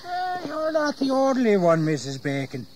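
A man's voice: extremely high pitch, wildly swooping pitch, normal speed, noisy background, full character voice. An actor playing a bitter English working-class servant and father, rustic and deferential yet grumbling, in an audio history drama. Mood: resentful. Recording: 1980s audio drama, insect ambience.

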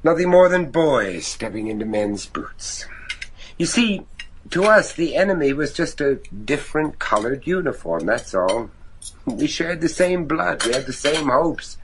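An actor playing an earnest, courteous American judge in a gothic radio drama, speaking in a measured, halting way. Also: male voice, on the high side, big pitch swings, a little hiss or hum, low-quality recording. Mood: wistful, grave.

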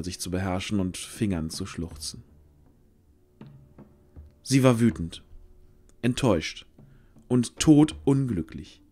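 A man with a fairly low voice narrates a fantasy audio play. He reads in a measured storytelling manner, leaving deliberate pauses between phrases. Soft music plays behind him. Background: background music.

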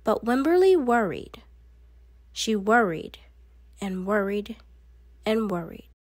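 A woman reading a children's picture book aloud in an expressive, deliberate storytelling manner. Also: mid-range voice, no echo, studio quality. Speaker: storybook reader.

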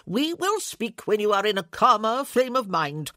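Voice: mid-range voice, very animated, moderate pace, bright, forceful tone, no room sound, studio quality, pitched above the performer's own voice. A storybook narrator voicing a puffy, over-eager little lord who prattles on insistently.

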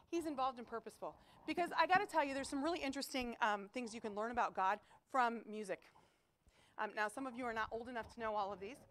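Animated woman preaching in a school chapel. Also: a little high. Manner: emphatic, animated preaching with rhetorical questions. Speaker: chapel speaker. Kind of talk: chapel sermon to students. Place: school chapel auditorium with stage.

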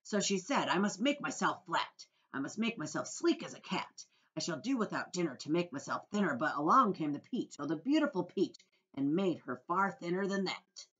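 A woman's voice: lowish voice, very animated, moderate pace, fairly bright tone. A woman voicing a gleeful, boastful male centipede who is proud to be a pest. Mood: reciting. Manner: brash, boastful, sing-song when reciting. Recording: dull lo-fi audio.

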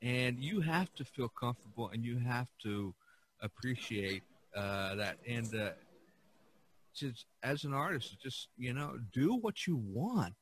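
A man hosting a casual podcast discussion, speaking haltingly and drawing out some words. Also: medium pitch, big pitch swings, husky. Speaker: podcast host.

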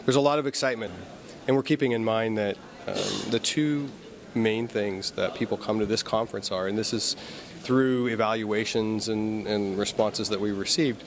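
A man's voice: fairly low pitch, fairly even intonation, moderate pace. A man in his forties, a committee chair, answering an interviewer matter-of-factly in a hotel conference lobby. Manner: matter-of-fact, planning-minded. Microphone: handheld interviewer mic. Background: crowd chatter.

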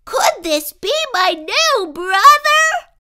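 A storybook poodle pup, voiced by a woman reading aloud, young, male and full of hope. He speaks very high and slowly, with a bright tone. He sounds eager and full of wonder. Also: big pitch swings, exaggerated voice. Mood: hopeful wonder. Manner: wondering, slow and drawn out.

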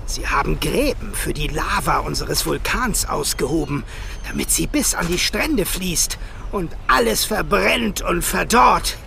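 A man's voice: high voice, animated, a little slow, gravelly, noisy background, full character voice. A man playing a gaunt, proud and wary elderly fisherman in a children's audio drama, theatrical and sighing. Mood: wistful, bitter. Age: older.

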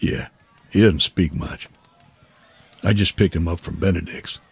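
A low, heavily husky voice for a weary, earnest man in a game cutscene. He speaks in a measured, explanatory manner, as someone concerned for a child in his care. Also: full character voice. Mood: calm, concerned. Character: weary, earnest man looking after an orphaned boy. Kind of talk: video game cutscene acting.

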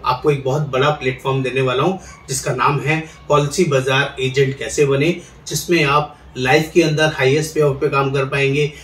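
A middle-aged man hosting a promotional explainer, seated in a studio with a lapel mic and pitching viewers in a persuasive, salesy way. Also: a bit bright. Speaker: insurance agent recruiter, channel host. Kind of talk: direct-to-camera promotional explainer. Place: indoor studio, seated in office chair. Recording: roomy echo, somewhat distant mic.

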